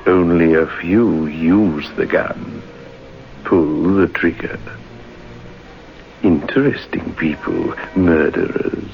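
Low, ominous radio mystery host. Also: male voice, fairly even intonation, gravelly, full character voice. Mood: ominous, wry. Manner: slow, deliberate, ominous. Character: macabre, knowing host of a murder-mystery series. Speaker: host narrator. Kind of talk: sinister old-time radio narration. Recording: muffled, noisy old radio recording.

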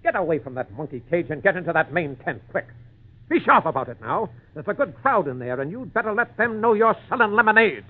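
Harsh, blustering old-radio circus boss. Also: male voice, high voice, sing-song, a little fast. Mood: barking, angry. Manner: barking, folksy bluster. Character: harsh, greedy circus concession boss bullying a boy. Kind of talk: old-time radio drama acting. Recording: tinny band-limited 1940s radio recording.

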